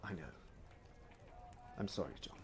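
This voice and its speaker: An actor playing an overworked, secretive Victorian gentleman doctor, weary and guarded, in a stage drama. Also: a little slow, slight background noise, close to an everyday voice. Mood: apologetic. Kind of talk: period stage drama acting.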